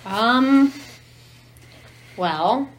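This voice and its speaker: A woman's voice: a little high, animated, extremely slow. An American woman in her thirties talking casually as a guest on a podcast. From Ohio, United States. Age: 30s. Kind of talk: casual chat. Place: apartment room.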